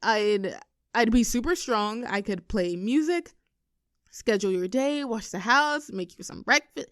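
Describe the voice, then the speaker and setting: High-voiced, teasing girlfriend, acted by a woman. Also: a little slow, dry recording, clean studio sound. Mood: playful, mock-indignant. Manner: teasing, animated, mock-indignant, cooing. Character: playful, clingy, teasing young girlfriend, affectionate and whimsical. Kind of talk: intimate girlfriend roleplay audio, scripted one-sided conversation.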